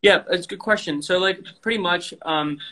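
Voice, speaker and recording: A young man who grew up in Indonesia talks frankly as an interview guest over a video call. His voice is bright and sits a little high, and he rambles, filling gaps with "you know" and restarts. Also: moderate pace. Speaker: guest athlete.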